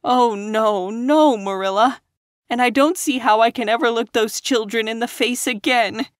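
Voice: moderate pace, a bit bright, no echo, clean studio sound, close to an everyday voice. A reader voicing Anne, a tearful, impulsive young woman teacher who talks in breathless, earnest bursts. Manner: breathless, sobbing, high and earnest.

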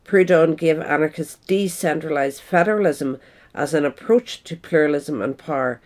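A woman's voice: sits low, moderate pace, darkish tone. Woman reading out a written essay, narrator style. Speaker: reader.

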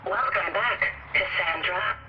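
A woman's voice: lowish voice, takes it slowly, muffled recording, a little hiss or hum. An actress playing a cool, cryptic female computer guide in a video game, giving terse, clipped announcements.

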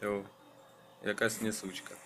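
A man's voice: fairly low pitch, level tone, a little slow, vocal fry, music in the background. A man chatting casually as a radio operator, in friendly colloquial language and clipped radio phrases. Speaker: amateur radio operator running an antenna test. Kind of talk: casual ham radio chat.

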